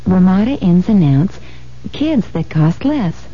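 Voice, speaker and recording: A woman's voice: on the low side, big pitch swings, slow pace. A woman announcer reading a scripted 1970s radio commercial. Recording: dull old radio recording with music.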